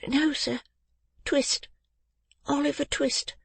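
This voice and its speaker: Meek little invalid boy for storybook, high-pitched, grateful, softly pleading manner. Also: steady tone, takes it slowly, gravelly, dry recording, exaggerated voice. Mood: earnest. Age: child. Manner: timid, polite, beseeching.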